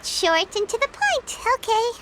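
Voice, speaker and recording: A woman's voice: extremely high pitch, very animated, unhurried, exaggerated voice. A woman voicing a tiny chatty female fairy companion in a video game, who is eager, pleading and talks about herself in the third person. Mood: pleading, upbeat. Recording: loud background noise.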